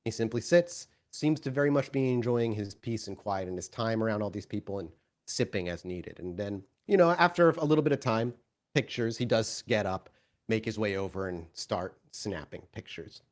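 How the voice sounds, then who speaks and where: A man improvising tabletop game narration as game master, in a halting, descriptive storytelling manner. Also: on the low side, lively intonation.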